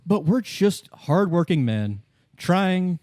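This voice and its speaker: A slyly corrupt union boss as a man acts him out in a roleplay game, all ingratiating friendliness and reassurance. The pitch swings freely and the sound is dry. He coaxes like everyone's best pal. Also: big pitch swings, slow, a little mellow, no room sound, exaggerated voice. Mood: sly, chummy. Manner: chummy, wheedling, over-assuring.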